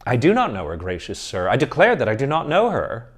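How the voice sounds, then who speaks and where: A man voicing a nervous, excitable young male student of philosophy who protests in flustered exclamations. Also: mid-range voice, fairly quick, slightly rough, voice pushed up. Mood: pleading.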